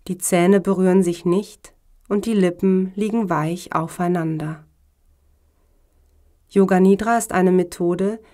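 Slow, lowish-voiced woman guiding meditation. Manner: calm, measured, instructional. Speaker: yoga teacher guiding relaxation. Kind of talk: slow guided meditation narration.

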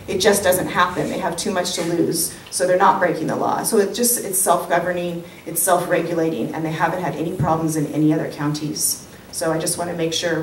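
A woman in her forties speaks to a community meeting from a lectern. Her voice sits on the low side. The room is clearly echoey, with faint noise in the recording. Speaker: community activist introducing a speaker. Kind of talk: informal public speech. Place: wood-panelled community hall, at a lectern. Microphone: handheld-type mic on stand. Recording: echoey hall, distant mic, faint noise.

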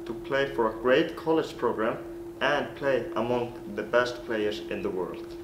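A young man speaks in an earnest, rehearsed way, his showing on every phrase. His voice sits at an ordinary middle pitch. The camera mic picks up a roomy echo and a steady background hiss. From Iceland. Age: late teens. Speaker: golf recruit. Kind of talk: scripted self-introduction to coaches. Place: small plain room indoors.

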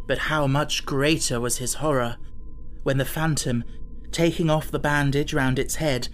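Guy reading an audiobook, slow n dramatic, kinda high voice, music behind. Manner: measured, dramatic storytelling. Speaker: audiobook narrator. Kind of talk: scripted literary narration. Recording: echoing reverb, music behind.

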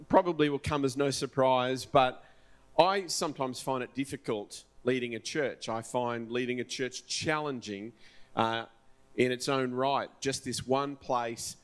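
A middle-aged man, a church pastor informally introducing a guest speaker on stage with a handheld mic. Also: medium pitch. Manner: informal, hesitant with fillers and restarts. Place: large dark church stage.

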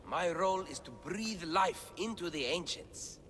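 Here is an earnest young scholar of ancient things in a video game. His pitch sits a little high and swings with eagerness as he explains. The voice rings in a reverberant chamber. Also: expressive, unhurried, a bit bright, faint music behind. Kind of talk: video game cutscene acting.

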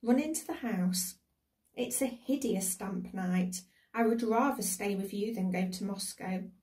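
Woman reading as a fond, mellow gentleman father. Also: medium pitch, a little slow, recorded from a distance, natural voice. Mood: fond farewell. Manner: fond, reassuring. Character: affectionate, cheerful gentleman father soothing his children.

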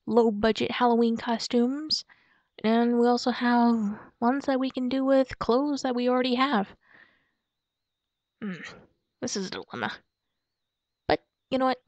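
A woman as a playful, high-voiced, unhurried young girlfriend. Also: dry recording. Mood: affectionate, cheerful. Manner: giggly, cutesy, soft and hesitant, musing aloud. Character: playful, excitable werewolf girlfriend shopping for Halloween costumes. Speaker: roleplay audio performer. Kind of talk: girlfriend roleplay ASMR, improvised-sounding monologue to a partner. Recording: dull lo-fi close dry recording.

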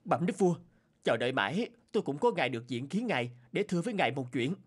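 A wronged talking skeleton ghost. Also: male voice, a little high, sing-song, fast, breathy edge. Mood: accusing. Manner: earnest, pleading. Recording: loud music behind.

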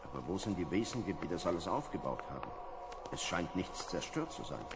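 A man playing a measured, analytical astronaut with a commanding manner in an old German radio play. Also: deep voice, sing-song. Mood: calm, reasoning. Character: calm, reasoning male astronaut. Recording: reverberant hall with background noise.

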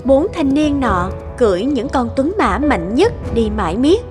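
A woman's voice: high-pitched, big pitch swings, measured pace, over music. A woman reading scripted fairy-tale narration as the storyteller of a cartoon.

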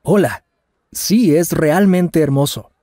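A man's voice: a little high, warm tone, dry recording, clean studio sound. A man playing a polite traveler in a scripted language lesson, enunciating each phrase with care. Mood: polite, friendly. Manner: deliberate, carefully enunciated. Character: polite Canadian traveler practicing his Spanish. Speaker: voice actor in language lesson dialogues. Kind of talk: scripted learner dialogue, each phrase said slowly then at normal speed.

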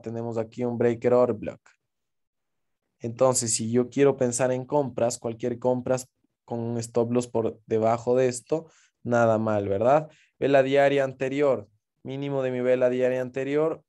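A man talks viewers through trading charts in a relaxed, explanatory lesson. His voice sits in a middle pitch with a mellow, warm tone, and he keeps checking in with his audience as he goes. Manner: casual, explanatory, frequent "¿ok?" check-ins. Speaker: forex trading instructor. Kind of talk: screen-share trading lesson.

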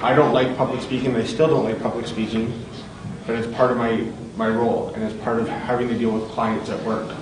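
Loud standing man in a big hall. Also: mid-range voice, level tone, a little fast, a crowd in the background. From Calgary, Canada. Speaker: panelist, public relations officer. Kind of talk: self-introduction at a panel. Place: large convention hall. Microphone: handheld mic. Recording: echoey hall, distant camera mic.

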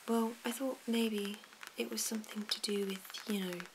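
A woman's voice: medium pitch, fairly even intonation, normal speed, lightly acted. A woman whispering the lines of a nervous, stuffy middle-aged husband, hesitant and mumbling, over a noisy recording with clicks.

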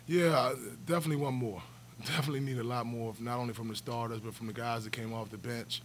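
A man's voice: medium pitch, steady tone, fast talker, a bit bright, slight background noise. A man in his forties, a basketball coach answering questions after a game at a table mic in a press room, earnest and often repeating words as he restarts. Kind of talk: postgame press conference answers. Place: press room, seated at a table before a backdrop. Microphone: table stand mic.

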